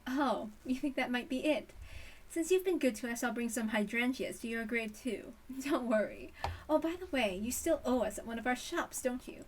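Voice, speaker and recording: A woman's voice: a little high, animated, moderate pace, far from the mic, a little hiss or hum, natural voice. A woman voicing a brash, confident, teasing mother in a story read-aloud. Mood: playful, needling.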